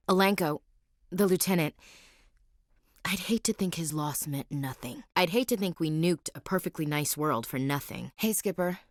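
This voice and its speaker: A woman's voice: low-pitched, no echo, clean studio sound. An actress voicing a frank, wry young woman soldier in a video game, plain-spoken and devout. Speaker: marine gunnery chief. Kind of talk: video game character dialogue.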